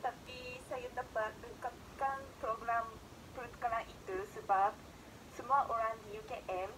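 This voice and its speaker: A woman's voice: a little high, steady tone, unhurried, rough recording. Careful young woman, outdoors. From Japan. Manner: careful, hesitant second-language speech with "ah" fillers. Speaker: interviewed student. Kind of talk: answering interview questions. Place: outdoors in a park. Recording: outdoor clip with clear background noise.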